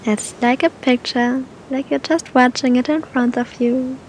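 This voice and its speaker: A woman guiding a meditation in a gentle, soothing manner, speaking to the listener with long, unhurried pauses. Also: fairly even intonation, low-quality recording. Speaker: meditation guide. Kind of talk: guided meditation, spoken softly to the listener. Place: outdoors on a beach. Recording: outdoor recording with low rumbling noise.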